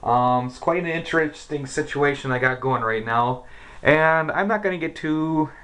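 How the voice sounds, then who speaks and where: Man, American accent, casual hobbyist demo, medium pitch, clearly noisy recording. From United States. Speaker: radio hobbyist demonstrating equipment. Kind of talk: casual hands-on demonstration. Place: indoors beside a shortwave receiver. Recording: clearly noisy, shortwave radio hiss.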